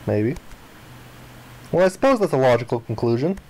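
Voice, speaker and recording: A man voicing a flippant, grandiose young amnesiac castaway, reading visual novel dialogue aloud in an expressive way. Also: medium pitch, moderate pace, poor audio. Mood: dramatic, insistent. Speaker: video game player reading aloud. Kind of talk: dramatic read-aloud of visual novel text.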